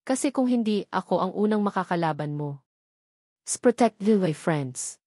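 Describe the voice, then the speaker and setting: A woman's voice: mid-range voice, lively intonation, moderate pace, warm tone, dry recording, lightly acted. Storybook villainess, played by a female narrator: jealous, polished, pointed emphasis, sugary menace, dry sound. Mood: veiled threat. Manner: pointed, emphatic, switching between languages. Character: snide, fake-sweet jealous woman, polished and threatening. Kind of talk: storybook drama dialogue.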